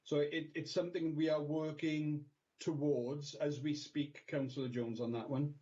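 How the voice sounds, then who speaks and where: A man answering questions at a council meeting, speaking haltingly with many repeated words. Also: on the high side, flat delivery, warm tone. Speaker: council education officer. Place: video call. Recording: lo-fi video call audio.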